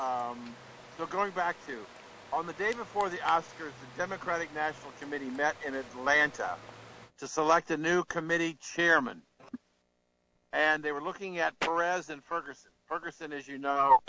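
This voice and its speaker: A man co-hosting a talk show, speaking casually in a halting way with frequent restarts and chuckles. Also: on the high side, sharp tone, a little hiss or hum, rough recording. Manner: halting, restarts often, chuckles while talking. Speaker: co-host and columnist. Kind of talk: casual talk-radio chat.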